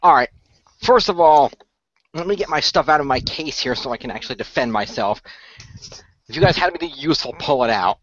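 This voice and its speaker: A man with a fairly high voice talks very quickly as he improvises in a role play. He takes charge in an exasperated, casual way, and the audio is a bit muffled. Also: expressive, fairly bright tone. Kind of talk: improvised in-character dialogue, casual.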